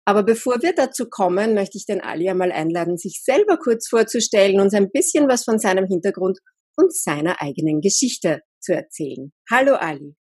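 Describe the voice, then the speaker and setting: Husky-voiced woman hosting a podcast. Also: mid-range voice, a little mellow, no room sound, studio quality. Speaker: podcast host, linguist. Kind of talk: podcast interview.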